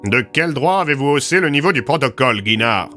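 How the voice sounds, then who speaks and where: Man as a brusque, sharp-voiced commanding officer. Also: medium pitch, animated, a little fast, soft background music. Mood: angry, commanding. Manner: blunt, curt, imperious. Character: brusque, commanding male military chief recovering in hospital. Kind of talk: audio drama acting.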